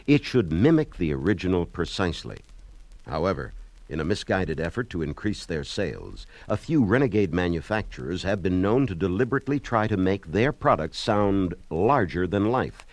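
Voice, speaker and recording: A man's voice: deep voice, no echo. A man announcing in scripted narration, mock-solemn and formal.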